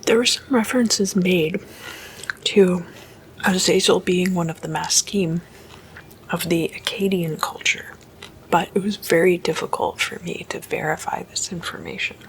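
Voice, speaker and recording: A woman doing whispered ASMR as a host, hushed and deliberate, mostly in breathy, unvoiced whisper. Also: fairly low pitch, slight background noise, low-quality recording. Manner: slow, hushed, deliberate delivery.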